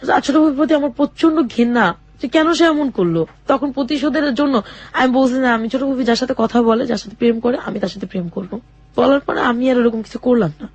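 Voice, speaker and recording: A young woman telling her own life story in an interview, speaking haltingly with many fillers and restarts. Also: on the high side, darkish tone, no room sound. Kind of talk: personal testimony in a radio interview.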